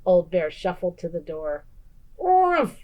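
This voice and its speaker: A woman narrating a children's story in an expressive, deliberate teacher's read-aloud style. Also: fairly low pitch, lo-fi sound.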